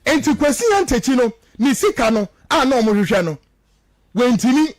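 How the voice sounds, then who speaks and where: A man's voice: very high voice, lively intonation, moderate pace. A man with a Ghanaian accent giving impassioned, accusatory radio commentary, repeating phrases for stress and switching between two languages. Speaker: political commentator on a radio show.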